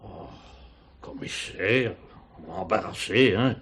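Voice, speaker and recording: A man's voice: fairly low pitch, slow pace, fairly bright tone. An actor playing a self-important, embarrassed businessman in a French stage thriller, pompous yet hesitant and defensive under questioning. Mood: embarrassed, confiding. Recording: 1970s stage play recording, some hiss.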